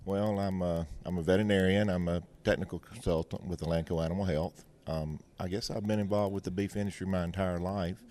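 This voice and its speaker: A man's voice: deep voice, fairly even intonation, a little fast, hardly any pauses, warm tone. A middle-aged man with a Mississippi Southern accent, explaining things in a folksy, plain-spoken way as an interview guest and veterinarian. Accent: Mississippi Southern American. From Mississippi, United States. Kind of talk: explanatory interview talk with anecdotes and analogies.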